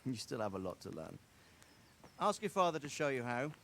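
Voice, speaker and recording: A courteous nobleman in a dramatic video game. His mid-pitched voice rises and falls freely with formal authority. Noise is clearly audible behind him. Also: sing-song, fairly bright tone. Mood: gracious. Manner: formal, deliberate. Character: courteous, commanding noble lord, gracious and self-assured. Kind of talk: dramatic game cutscene acting.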